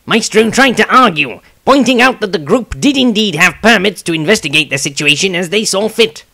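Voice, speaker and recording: A man's voice: sits high, expressive, brisk, creaky, bright, forceful tone, heavily acted. A man voicing an earnest, aggrieved adventurer, reading his diary as scripted fantasy narration. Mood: earnest, tense.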